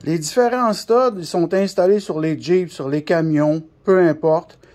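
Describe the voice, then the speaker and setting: A man with a Quebec French accent and a distinctly high voice. He explains things informally, like a technician showing parts by hand. His wording is colloquial, and he repeats himself for emphasis. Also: a little slow, darkish tone. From Canada. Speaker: CB radio shop technician. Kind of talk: informal hands-on product explanation.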